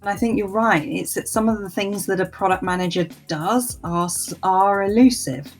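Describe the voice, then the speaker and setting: A woman speaking as an interview guest in a thoughtful discussion, explaining things in a measured, structured way. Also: medium pitch, faint music behind. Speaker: interview guest, senior product director.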